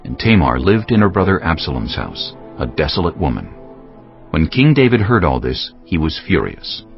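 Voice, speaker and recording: A man's voice: a bass voice, big pitch swings, normal speed. A man solemnly narrating a dramatized scripture story from a script. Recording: slightly dull audio with music bed.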